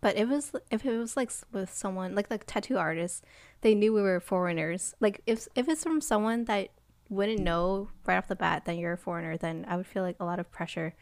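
A woman's voice: medium pitch, a little fast, no echo. Young woman from America, casual chatty talk, dry close sound. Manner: chatty, laughs often, many fillers. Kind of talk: casual group chat.

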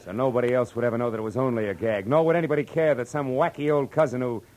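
An actor playing a tough, wry private detective in an old radio drama, with a terse, wisecracking delivery. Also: male voice, mid-range voice, steady tone, racing, a little mellow, close to an everyday voice. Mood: commanding. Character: hard-boiled, wry male private detective. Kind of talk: 1940s radio drama acting and narration. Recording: noisy old radio recording.

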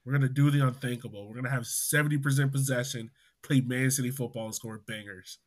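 A man's voice: mid-range voice, steady tone, quick pace. A man hosting a fan podcast, upbeat and joking as he wraps up the show. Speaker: podcast host. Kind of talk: casual podcast chat.

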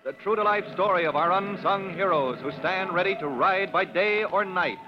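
A man's voice: high voice, moderate pace, music in the background. A man announcing and narrating a 1940s radio drama in a declamatory style. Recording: dull old radio recording.

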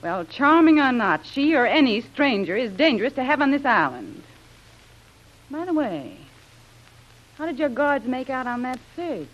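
An actress playing a sly, jealous, hard-edged adventuress, sardonic and insinuating, in an old radio serial. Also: female voice, sits high, sing-song, moderate pace. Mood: wary. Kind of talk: 1930s radio serial acting. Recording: muffled old radio recording.

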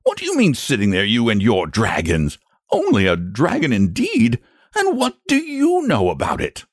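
A man's voice: a little high, huge pitch swings, a little slow, dry recording, clean studio sound, voice pushed up, full character voice. A man voicing a nervous, indignant country shepherd in a storybook, rambling in rustic dialect with emphatic repetitions.

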